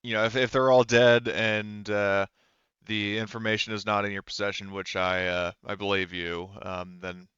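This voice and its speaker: Man, game master, low pitch, improvised halting narration, close dry sound, slightly muffled lo-fi audio. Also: flat delivery, fairly bright tone, smooth, dry recording. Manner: halting, conversational, rephrasing as he goes.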